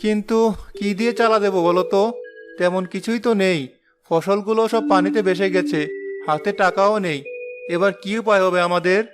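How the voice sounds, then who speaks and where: A man plays a poor villager in a cartoon, an earnest family man full of worry. His voice is high for a man and urgent, over clearly audible music. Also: fairly even intonation, brisk, close to an everyday voice. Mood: worried. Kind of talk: animated moral story voice acting.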